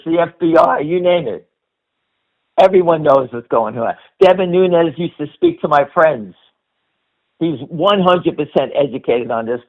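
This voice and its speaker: A middle-aged American man hosting a stock-trading conference call with off-the-cuff commentary, blunt and emphatic, often repeating himself. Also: mid-range voice. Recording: dull lo-fi conference-call audio.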